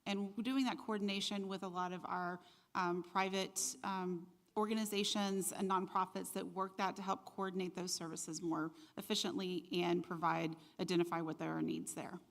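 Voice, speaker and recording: Measured city staff woman explaining. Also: fairly low pitch, little pitch movement, vocal fry. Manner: measured, explanatory, some ums. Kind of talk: budget explanation at a community meeting.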